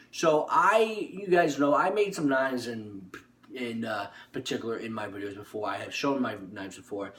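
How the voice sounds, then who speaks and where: A young man telling a personal story casually and off the cuff, rambling and haltingly, often repeating his words. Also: a little high, a bit bright. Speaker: online video storyteller. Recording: echoey room, distant microphone.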